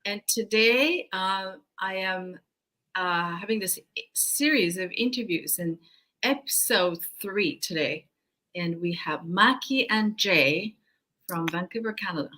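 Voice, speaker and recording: Woman host, bright upbeat voice, friendly interview lead. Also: mid-range voice, a little slow. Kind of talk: casual interview hosting.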